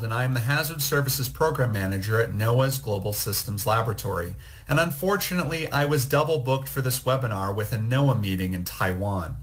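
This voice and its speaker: A man reading a scripted, recorded technical presentation in a measured, explanatory way. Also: medium pitch. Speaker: program manager presenting.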